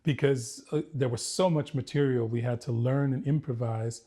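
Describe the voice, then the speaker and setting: An American man recounts his past in a relaxed conversation, his voice a bit husky and breathy. He tells his stories vividly, with restarts and a lot of laughter. His pitch sits in the middle of the male range. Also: moderate pace. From United States. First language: English. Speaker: guest, former actor. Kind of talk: casual storytelling interview.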